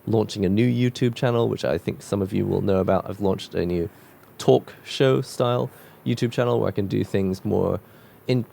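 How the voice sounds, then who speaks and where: A man with a cold-croaked voice talking casually and reflectively while drawing, musing with loose restarts. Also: lowish voice, moderate pace, mellow. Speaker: art vlogger drawing on camera.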